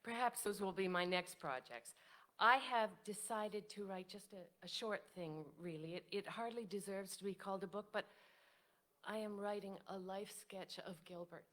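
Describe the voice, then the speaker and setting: An actress playing a modest, wistful, devoted widow in a staged reading of a period drama, with genteel, articulate phrasing. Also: female voice, medium pitch, normal speed, fairly bright tone, close to an everyday voice. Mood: bashful. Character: elderly devoted widow writer, modest, wistful, self-doubting.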